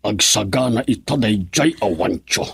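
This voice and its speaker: Radio drama: a vengeful, proud older father, very rough husky voice, dry close recording. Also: fairly low pitch, animated, normal speed, fairly bright tone, no echo, full character voice. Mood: gloating.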